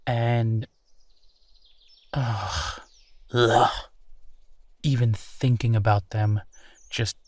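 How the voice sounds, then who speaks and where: A man playing a candid, awkward werewolf in an intimate audio roleplay, talking in a halting, conversational way with sighs. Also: medium pitch, fairly even intonation, dry recording. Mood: disgusted, exasperated. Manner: halting, hesitant, sighing, conversational. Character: easygoing young male werewolf, awkward, candid, bitter about rival.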